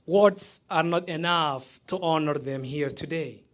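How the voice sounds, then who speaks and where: A man in his thirties from Somalia, speaking with a Somali accent as he gives a prepared, heartfelt graduation speech, pausing between phrases. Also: fairly high pitch, background noise. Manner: measured, heartfelt delivery with pauses between phrases.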